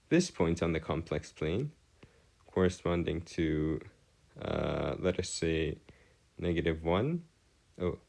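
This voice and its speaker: Male tutor, low register, very varied intonation, formal and halting, explaining as he works. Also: gravelly, a little mellow. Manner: formal wording, halting, self-correcting. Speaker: math tutor. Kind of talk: improvised explanatory lesson at a digital whiteboard.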